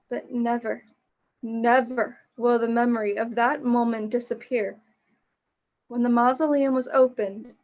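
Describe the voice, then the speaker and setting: A woman playing a somber witness narrating an eerie gothic radio play in a measured, reflective way. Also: fairly high pitch, dull audio.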